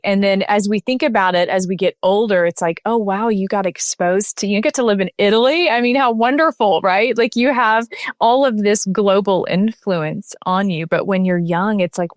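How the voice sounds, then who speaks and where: A woman's voice: mid-range voice, normal speed, no echo. Female podcast host, conversational and encouraging; dry, close recording. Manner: conversational, affirming, rephrases questions. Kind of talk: interview questions.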